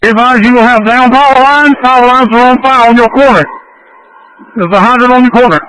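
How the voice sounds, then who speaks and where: A man with a high voice speaks as a fire incident commander on two-way radio. He gives terse, directive instructions in the clipped style of emergency radio traffic, through a crackly transmission. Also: a little fast, a bit bright.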